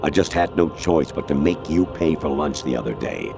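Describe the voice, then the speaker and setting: A man's voice: rumbling low, normal speed. An actor playing a stern older male military colonel in a video game, commanding and formal but eerily erratic. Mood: confessional. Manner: commanding, clipped, formal, sliding into rambling non sequiturs. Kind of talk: dramatic video game voice acting. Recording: music behind the voice. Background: loud music.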